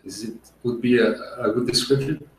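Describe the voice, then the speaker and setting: A man's voice: medium pitch, steady tone, brisk, vocal fry, slight background noise. A man from Portugal, hosting an interview in a chatty, joking way. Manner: chatty and joking, laughs between remarks. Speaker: interview host. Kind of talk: casual interview over a call. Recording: roomy, from a distance off the mic.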